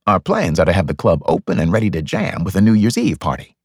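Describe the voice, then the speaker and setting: A man's voice: deep voice, huge pitch swings, fast, husky, dry recording, studio quality, full character voice. A man voicing a confident, teasing bachelor club owner in an audiobook story. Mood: confident, persuasive.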